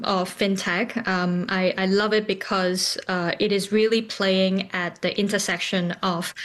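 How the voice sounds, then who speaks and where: A woman from Hong Kong answering interview questions as a company founder, often pausing mid-phrase. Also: medium pitch. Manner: hesitant, frequent pauses mid-phrase.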